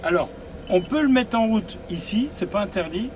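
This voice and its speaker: Man, fairly high voice, practical instructor, noisy vehicle background. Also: lively intonation, moderate pace. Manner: practical, repeats advice, joking asides. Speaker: driving instructor presenting a coach. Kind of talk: explaining controls to a group of drivers. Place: inside a coach, outdoors nearby.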